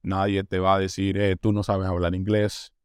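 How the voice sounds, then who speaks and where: Talkative Colombian man, low voice. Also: level tone, normal speed, smooth, no room sound, studio quality. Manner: talkative, restarts words, acts out quoted voices. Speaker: interview guest, newcomer. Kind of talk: casual interview.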